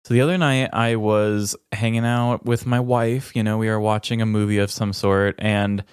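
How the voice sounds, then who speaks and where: A man's voice: lowish voice, level tone, moderate pace, clear, resonant tone, dry recording, studio quality. A man co-hosting a podcast in casual comedic chat, playful, self-deprecating and mock-earnest. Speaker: podcast co-host.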